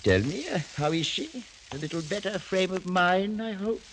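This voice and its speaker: A man's voice: sits high, lively intonation, moderate pace. An actor playing a menacing, sly old French nobleman in a theatrical, mock-courteous radio style. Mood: mocking, gleeful. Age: older. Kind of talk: 1940s-50s radio acting. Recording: noisy vintage radio drama recording.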